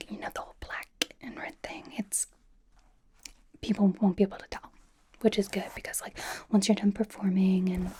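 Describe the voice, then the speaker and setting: A woman's voice: mid-range voice, warm tone, close to an everyday voice. A woman playing a bubbly, encouraging young K-pop idol in an ASMR personal-attention roleplay, whispering breathily in a rambling, giggly, reassuring way. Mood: reassuring, gushing. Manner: rambling, giggly, reassuring, casual youthful phrasing.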